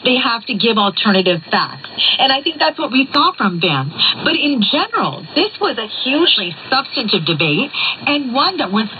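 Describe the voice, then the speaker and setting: A woman's voice: a little high, normal speed. Woman analyst on tinny, noisy shortwave radio. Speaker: political commentator guest. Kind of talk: live political analysis interview. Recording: noisy shortwave radio, narrow phone-like band. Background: steady radio static.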